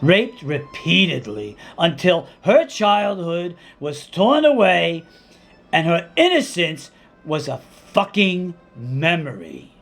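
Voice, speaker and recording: A man reading his own dramatic monologue in a deliberate, intense spoken-word style, with weighty pauses and repeated words. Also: fairly high pitch, very animated, gravelly, fairly bright tone, faint music behind.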